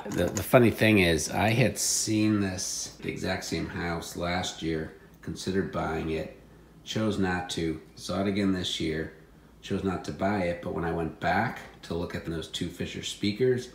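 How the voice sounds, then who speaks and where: A man's voice: low-pitched, vocal fry, echoey room, slight background noise. A man showing secondhand finds in casual, offhand chat with a wry, joking manner.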